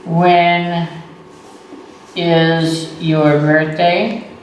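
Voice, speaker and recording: A man, teaching beginner English slowly and patiently, repeating phrases and checking in with "okay" and "right". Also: a little high, level tone. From Texas. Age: 30s. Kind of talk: slow, deliberate beginner English lesson. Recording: echoey room, voice far from the mic, faint noise.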